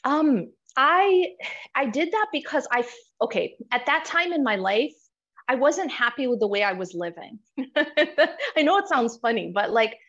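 A woman's voice: high-pitched, sing-song, measured pace, fairly bright tone. A young American woman telling a personal story as an interview guest, reflective and full of restarts and repeated words. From United States. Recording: slightly muffled podcast audio.

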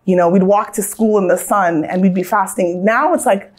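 A woman's voice: medium pitch, moderate pace. An American woman from Southern California, in her thirties, reflecting earnestly on her life in a casual, storytelling way, with frequent restarts.

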